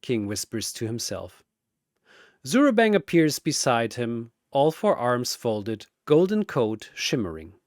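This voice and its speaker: Slow, theatrical male battle narrator. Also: mid-range voice, very animated, no room sound, clean studio sound. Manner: theatrical, deliberate. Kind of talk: dramatic scripted fantasy battle narration.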